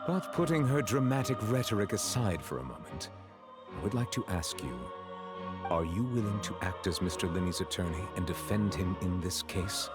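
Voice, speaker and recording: A man's voice: sits low, animated, normal speed. A man voicing a solemn, authoritative chief judge in an anime game, formal, composed and grave. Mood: grave, official. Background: background music.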